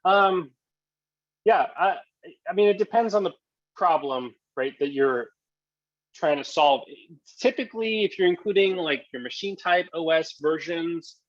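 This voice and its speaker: A man's voice: on the high side, expressive, measured pace, pauses often, dry recording, lo-fi sound. A man presenting a casual tech talk, answering questions in a hesitant, thinking-aloud way over a video call. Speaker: presenter and software developer.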